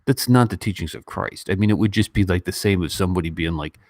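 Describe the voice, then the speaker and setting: A man's voice: sits low, quick pace, no room sound, clean studio sound. A man chatting informally and in a rambling way as the host of a casual online livestream. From Western country. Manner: informal, animated when mimicking others, rambling. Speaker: YouTube host. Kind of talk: casual livestream chat and commentary.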